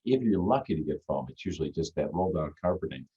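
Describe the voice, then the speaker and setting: A man with a deep, full radio voice, chatting as a guest on a video call in an easygoing, rambling way. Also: expressive, quick pace, mellow. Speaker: guest magician. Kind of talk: casual chat on a video call. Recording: dull lo-fi video-call audio.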